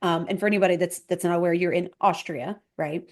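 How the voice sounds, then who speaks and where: A woman's voice: fairly low pitch, steady tone, fast talker, darkish tone. A woman hosting a podcast interview, upbeat and enthusiastic. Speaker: podcast host.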